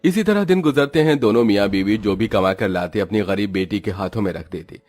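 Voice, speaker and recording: A man's voice: on the low side, fairly quick, a little mellow, faint music behind. A man narrating a scripted moral story for a cartoon.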